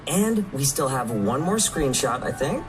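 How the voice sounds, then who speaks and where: A man with a mid-range voice chats in a playful promotional style as a panel guest. His delivery rises and falls freely over clearly audible music. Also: medium pitch, sing-song, measured pace. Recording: music under a played stream.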